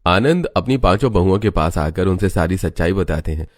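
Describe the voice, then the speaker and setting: A man's voice: deep voice, lively intonation, brisk, no echo, studio quality. A man reading scripted moral-story narration as a storyteller.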